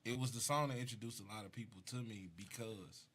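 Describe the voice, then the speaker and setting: Low Southern man, bright and forceful. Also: brisk, a little hiss or hum. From Mississippi. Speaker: rapper being interviewed. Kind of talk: casual storytelling on a talk show.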